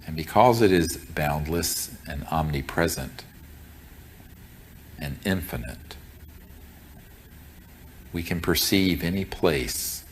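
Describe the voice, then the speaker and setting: A man leading a group in guided meditation, speaking as a teacher in a solemn, deliberate and measured way. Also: very deep voice, fairly bright tone, a little hiss or hum. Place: room with a group present.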